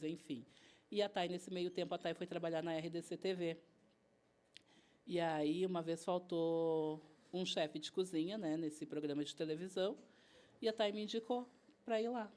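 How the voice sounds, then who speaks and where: A woman's voice: sits low, mellow. A woman guest chef with a southern Brazilian accent, telling stories in a casual interview and acting out bits of dialogue as she goes. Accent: southern Brazilian Portuguese. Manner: chatty, retells events by acting out dialogue.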